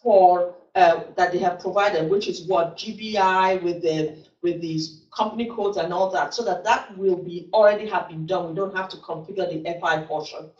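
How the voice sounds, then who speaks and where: A woman's voice: lowish voice, normal speed, a little mellow. A middle-aged woman with a Nigerian English accent, teaching a classroom lecture in a chatty way that keeps checking in with "okay". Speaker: instructor. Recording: roomy, distant, dull classroom recording.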